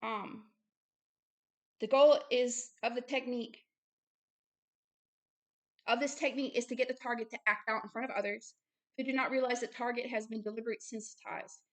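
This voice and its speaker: A woman's voice: a little high, steady tone, normal speed, long pauses. A woman hosting informal religious commentary, reading aloud and adding personal asides in an earnest, insistent way, often repeating phrases for emphasis. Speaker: host and religious teacher.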